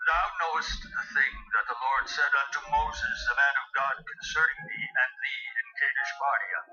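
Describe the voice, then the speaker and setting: A man's voice: mid-range voice, wildly swooping pitch, normal speed, exaggerated voice. An actor playing an old Israelite man in a scripture drama, speaking in a solemn, declamatory way. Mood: solemn, recalling. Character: Caleb, old Israelite man recalling his service. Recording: muffled, loud music behind.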